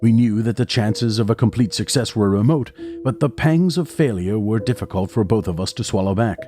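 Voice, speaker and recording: An actor playing a somber narrator who recounts his past in a measured, literary horror audio drama. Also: fairly low pitch, dark tone, close to an everyday voice. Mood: grave, reflective. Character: somber male narrator recalling his gruesome experiments. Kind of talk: scripted first-person horror narration. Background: music.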